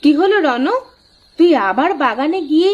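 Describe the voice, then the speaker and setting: A woman's voice: high-pitched, lively intonation, normal speed, dull audio. A woman playing a caring but strict young mother in a children's cartoon, fussing and admonishing. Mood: scolding.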